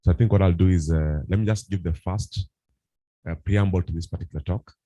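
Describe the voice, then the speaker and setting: A man's voice: sits low, a little fast, warm tone, no room sound. A man, an endocrinologist, introducing himself and opening his talk. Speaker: endocrinologist, panelist.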